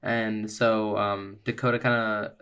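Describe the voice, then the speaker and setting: A man playing a tabletop game, narrating his character's movements in a halting, conversational, improvised style. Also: on the low side, flat delivery, fairly bright tone, smooth.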